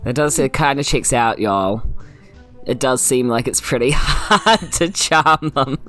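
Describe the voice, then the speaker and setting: A woman's voice: extremely low pitch, animated, a little slow, a bit bright, soft background music. A woman with a New Zealand accent improvising playfully and casually as a player in a tabletop game. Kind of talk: casual in-character improv chat.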